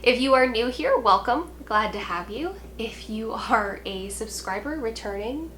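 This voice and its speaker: A woman's voice: a little high, expressive, a little slow, fairly bright tone, slight background noise. A young woman hosting a knitting podcast, talking casually to camera with a congested, nasal voice from a sinus cold, chatty and apologetic with lots of ums.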